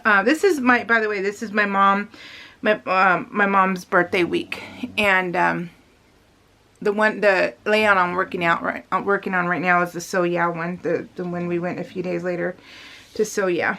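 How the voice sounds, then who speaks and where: A woman's voice: on the low side, fairly even intonation, normal speed, vocal fry, bright, forceful tone. A middle-aged woman vlogger chatting casually in a rambling, emphatic, confiding way. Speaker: craft vlogger. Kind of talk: casual chat.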